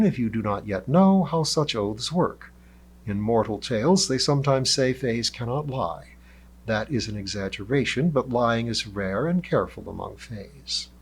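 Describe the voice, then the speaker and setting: A man voicing an ancient elf who speaks in a calm, formal and somewhat condescending way. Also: mid-range voice, slightly rough. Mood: lecturing. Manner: measured, formal and lecturing.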